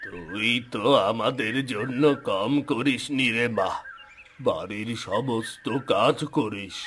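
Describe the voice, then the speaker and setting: A man's voice: fairly low pitch, slow pace, a bit bright. Man playing a kindly old village father, faint noise. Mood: affectionate. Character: kindly ageing poor village father, protective. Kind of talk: animated fairy-tale story acting.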